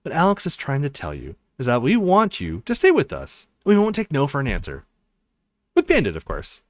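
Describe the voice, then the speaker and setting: A man voicing a kind, earnest young woman in a visual novel, speaking with warm, insistent coaxing. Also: medium pitch, wildly swooping pitch, a little fast, dry recording, clean studio sound. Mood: tender, insistent.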